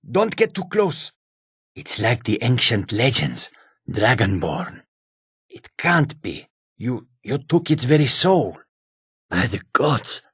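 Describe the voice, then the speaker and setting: A male actor playing a courteous, sly humanoid cat trader in a fantasy video game, with a gravelly, husky voice and measured, formal speech. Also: fairly low pitch, dry recording, studio quality, heavily acted. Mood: awed, alarmed. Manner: measured, formal, refers to himself in third person.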